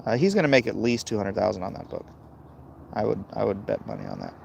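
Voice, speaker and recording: A man with a gravelly voice hosting a casual, opinionated livestream, answering chat in a blunt, dismissive way and muttering between thoughts. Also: on the low side, wildly swooping pitch, fast talker, noisy background. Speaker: livestream host reading and answering chat comments.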